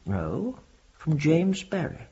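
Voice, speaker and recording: An actor playing an earnest, courteous Victorian clergyman recounting his memories, with a husky, gravelly voice and formal, measured storytelling. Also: a little high, huge pitch swings, warm tone, full character voice. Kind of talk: period radio drama acting. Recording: dull lo-fi audio.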